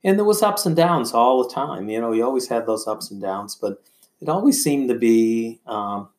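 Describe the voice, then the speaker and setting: An older man telling personal stories as a podcast guest, in a halting, rambling and earnest conversational way. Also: medium pitch, animated, darkish tone.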